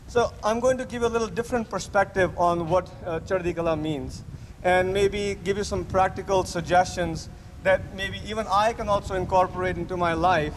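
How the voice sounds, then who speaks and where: Male physician as lecturer, slightly high voice, halting delivery with restarts, long hall reverb, faint hiss. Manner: hesitant, many restarts, repeats audience answers. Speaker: physician giving a lecture at a camp. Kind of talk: interactive lecture with audience questions.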